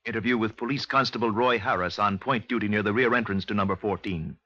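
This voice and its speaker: An actor as an authoritative British narrator of a 1950s radio crime series, formal and deliberate. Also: male voice, on the low side, no echo, natural voice. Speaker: announcer and narrator. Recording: dull old radio recording.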